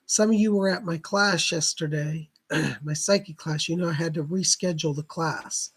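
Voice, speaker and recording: A man hosting a live psychic reading stream, hoarse from laryngitis, chatting casually and clearing his throat often. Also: a little high, normal speed. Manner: chatty, greets viewers by name, keeps clearing his throat.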